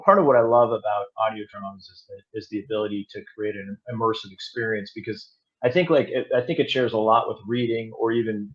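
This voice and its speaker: A man chatting casually as an interview guest, thinking aloud in a halting, self-correcting way. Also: on the low side, highs cut off. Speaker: audio drama producer and director, interview guest.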